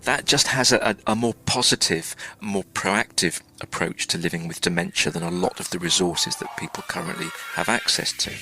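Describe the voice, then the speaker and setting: A man being interviewed, explaining a project in measured, explanatory answers. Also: low voice, fairly even intonation, music in the background.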